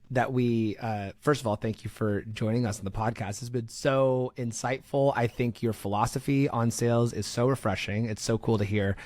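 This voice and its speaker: A man's voice: mid-range voice, dry recording. A man hosting a podcast in an informal, slangy way, rephrasing his thoughts as he goes. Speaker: podcast host. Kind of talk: casual interview chat.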